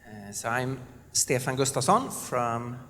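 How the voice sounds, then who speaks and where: A man from southern Sweden with a Swedish accent, introducing himself on a panel in an earnest, halting way with many fillers. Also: mid-range voice, expressive, a bit bright. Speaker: panelist, apologetics speaker. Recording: reverberant hall.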